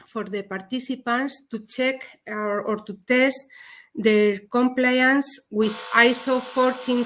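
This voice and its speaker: A woman with a Spanish accent giving a technical webinar presentation, speaking haltingly with frequent pauses. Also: on the high side. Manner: hesitant, halting non-native delivery with frequent pauses. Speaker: presenter, head of a dosimetry service.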